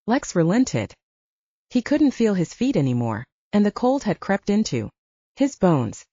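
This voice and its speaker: A woman's voice: medium pitch, big pitch swings, measured pace, warm tone, no room sound, highs cut off. A woman reading a scripted story as an audiobook narrator.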